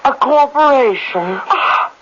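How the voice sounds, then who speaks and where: On a muffled vintage radio recording with faint noise, a proud schoolteacher. She is prim, tart and quick to fluster, and her medium-pitched voice swings expressively in classic radio drama fashion. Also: lively intonation, fairly bright tone, full character voice. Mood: affronted, indignant. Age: 30s. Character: proud, romantic thirty-five-year-old schoolteacher, easily affronted. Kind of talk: 1970s radio drama acting. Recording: dull, faintly noisy old radio recording.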